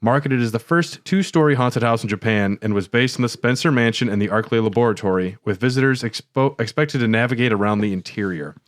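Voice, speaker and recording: A man's voice: mid-range voice, a little fast, hardly any pauses, no echo, studio quality. A man co-hosting a podcast, moving between scripted rundown and casual banter. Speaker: podcast co-host.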